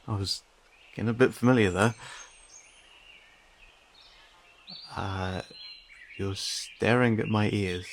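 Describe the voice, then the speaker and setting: Casting: male actor as young catfolk man for fantasy audio drama; lowish, unhurried, informal, gently teasing, woodland ambience behind. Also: slight background noise. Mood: startled, puzzled. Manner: informal, hesitant, gently questioning with dry teasing. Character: friendly, teasing young male cat-person, agile and concerned. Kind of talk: audio roleplay drama addressed to a silent listener.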